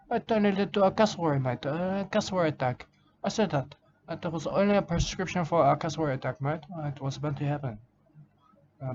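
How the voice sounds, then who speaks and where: A man rambling through a halting comic monologue in broken grammar, filling it with "mate" and "I can tell you". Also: on the high side, dull audio, noisy background. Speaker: comedic character monologue. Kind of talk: rambling comic monologue as a nature-documentary style character.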